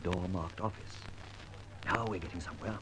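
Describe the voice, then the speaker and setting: Actor as a suave low-voiced gentleman sleuth. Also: moderate pace, lightly acted. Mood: intrigued, cautious. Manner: jaunty, theatrical, old-fashioned turns of phrase. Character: suave, jaunty gentleman adventurer-detective, male, whimsical and confident. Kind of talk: old-time radio detective serial acting. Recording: noisy old radio recording.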